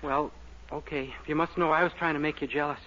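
A young man starring in a radio comedy, with boyish, goofy, excitable delivery. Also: on the high side, fast talker. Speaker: star of a radio sitcom. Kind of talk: 1940s radio comedy acting. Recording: dull old radio recording with hiss.

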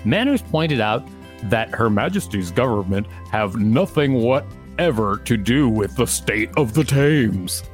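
Man, podcast co-host, article read aloud, joking asides, mid-pitched voice, music underneath. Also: expressive, a little slow.

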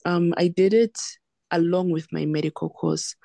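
Creaky-voiced young Southern African woman. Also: on the low side, fairly even intonation, moderate pace, dark tone, dry recording. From Zambia and South Africa. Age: young adult. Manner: explanatory, repeats words for emphasis. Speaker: podcast guest, medical doctor. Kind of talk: conversational interview, recounting personal experience.

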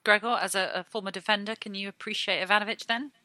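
A woman presenting a podcast in a measured, scripted style. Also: medium pitch, fairly even intonation, bright, forceful tone, no room sound.

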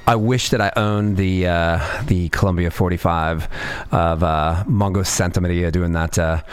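Sniffly guy dj, kinda low voice, rambling chill radio chat, lots of uhs. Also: steady tone, measured pace, smooth. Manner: easygoing, rambling, many ums and uhs, chuckles. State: slightly sniffly from a cold. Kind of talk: laid-back radio DJ chat.

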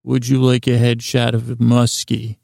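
A man hosting a bedtime podcast in a rambling monologue, halting and often interrupting himself as he wanders off on tangents. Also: mid-range voice, monotone, vocal fry, darkish tone, dry recording, studio quality. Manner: halting, digressive, mumbly self-interruptions. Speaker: podcast host.

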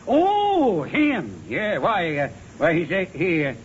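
An excitable saloon barman, high and wildly swooping in pitch. He blusters colloquially on a muffled vintage film soundtrack. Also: slow pace, slight background noise, heavily acted. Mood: puzzled. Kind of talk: 1930s Hollywood comedy film acting.

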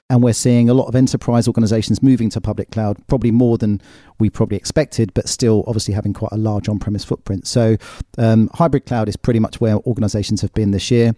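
A man speaking as a guest on a tech panel, explaining in a relaxed, matter-of-fact way. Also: medium pitch, no room sound, studio quality. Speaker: podcast guest, technologist. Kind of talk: casual panel chat.

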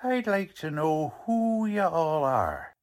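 A man's voice: fairly high pitch, big pitch swings, slow. A man playing a blunt, sarcastic police lieutenant in the style of a 1940s radio drama. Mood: sarcastic, questioning. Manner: blunt, mocking, slangy.

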